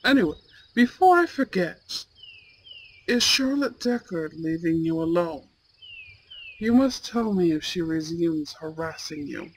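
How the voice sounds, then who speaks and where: Woman voicing a slow, haughty young school prince. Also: on the low side, slight background noise, poor audio. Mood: protective, stern. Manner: haughty, formal, deliberate. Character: proud, imperious young male student prince, protective boyfriend. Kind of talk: roleplay ASMR audio drama. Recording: steady outdoor bird and insect ambience. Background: birdsong and insects.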